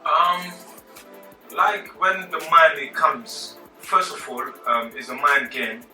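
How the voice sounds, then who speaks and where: A man from Senegal, a fitness coach and bodybuilder, answering interview questions casually, with many fillers and trailing off quietly. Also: a little high, lively intonation, slow pace. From Senegal, West Africa. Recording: roomy and distant with music behind.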